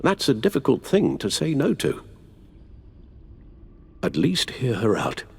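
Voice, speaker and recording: An actor playing an elderly British butler and mentor in a video game, caring and worried, with formal, measured, genteel phrasing. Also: male voice, medium pitch, huge pitch swings, gravelly, breathy edge, exaggerated voice. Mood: earnest, persuasive. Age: older. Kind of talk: dramatic video game voice acting. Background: background music.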